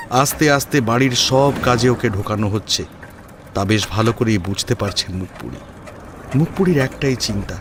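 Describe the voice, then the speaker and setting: A man's voice: medium pitch, background noise. A man narrating an audio story in a dramatic, scripted storytelling style. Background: faint background music.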